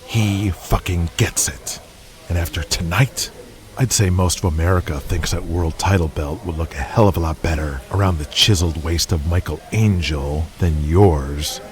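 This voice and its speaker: A man's voice: sits low, normal speed, close to an everyday voice. An actor playing a stern, profane wrestling promoter in an audio drama, a man lecturing with emphatic insistence. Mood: urging, hard. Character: hard-driving, profane male wrestling promoter, stern boss.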